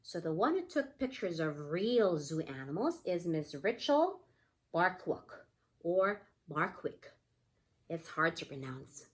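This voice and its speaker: Woman, preschool teacher, lowish pitch, lively swooping intonation, simple explanations, questioning read-aloud style. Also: slow. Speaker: pre-K teacher. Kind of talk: read-aloud lesson for young children, asking questions.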